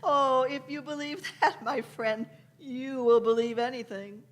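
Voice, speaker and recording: A woman playing a shrewd, teasing time-traveling doctor in a radio play, deliberate and knowing. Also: sits high. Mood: mocking. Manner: deliberate, knowing, punctuated with hmms. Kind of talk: live radio-play acting.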